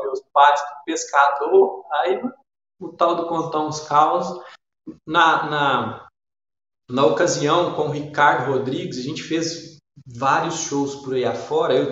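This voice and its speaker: A man's voice: on the high side, fairly bright tone. Man, Minas Gerais accent, shy rambling storyteller, guest on an interview; very reverberant, muffled remote sound. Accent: Minas Gerais Brazilian Portuguese. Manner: shy, meandering, folksy storytelling. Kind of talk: casual reminiscing interview talk.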